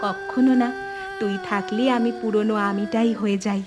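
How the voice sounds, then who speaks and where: A woman playing a warm, remorseful grown-up elder sister in an animated family drama. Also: mid-range voice, moderate pace, over music, lightly acted. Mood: reassuring.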